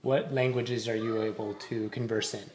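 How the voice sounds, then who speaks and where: A man's voice: mid-range voice, fairly even intonation, normal speed, darkish tone, a little hiss or hum. A man interviewing a guest casually, with short prompts and teasing asides. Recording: echoey room.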